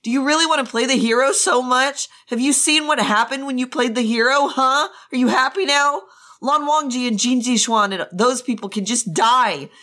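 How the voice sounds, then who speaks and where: A woman's voice: mid-range voice, sing-song, measured pace, few pauses, pushed and bright, exaggerated voice. A woman voicing a proud, bitter teenage boy maddened by grief, hurling angry accusing questions as she reads a novel's dialogue. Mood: furious grief. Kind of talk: dramatic reading of a novel's dialogue.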